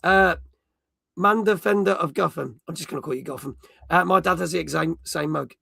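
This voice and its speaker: A middle-aged man with a croaky voice, hosting a casual live stream and chatting with viewers in cheeky banter. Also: on the high side, moderate pace, bright, forceful tone, no room sound, clean studio sound. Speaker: livestream host. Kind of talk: casual live-stream chat, reading chat comments.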